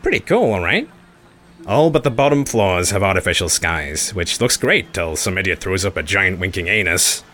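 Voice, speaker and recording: A man's voice: deep voice, very animated, moderate pace, fairly bright tone, a little hiss or hum, pitched below the performer's own voice. A man voicing a blunt, wry male guide in a science fiction audiobook, matter-of-fact and assured.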